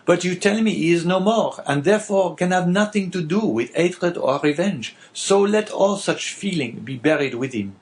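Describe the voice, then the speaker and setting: A rough, bitter innkeeper from an audiobook, brooding and earnest as a male reader voices him. His voice is raised somewhat above the reader's own and swings about. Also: sits high, animated, moderate pace, hardly any pauses, pitched above the performer's own voice. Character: rough, bitter middle-aged innkeeper man. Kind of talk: audiobook character voice.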